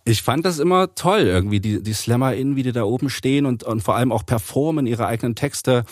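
A man's voice: mid-range voice, fast, dry recording, studio quality. A man speaking as an interview guest, explaining things casually and a little hesitantly as he thinks aloud. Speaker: interview guest, author and poetry slammer.

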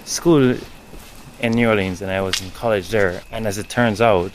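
A man with a Jamaican accent, a writer, recounting his own experiences in a measured, thoughtful way with pauses mid-sentence. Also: lowish voice, steady tone, background noise. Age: adult. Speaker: writer and guest. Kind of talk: reflective storytelling.

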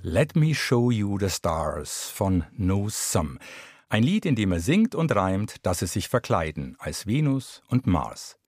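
Low-voiced male radio presenter. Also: very animated, slow pace, darkish tone, slightly rough, faint music behind. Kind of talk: scripted broadcast narration.

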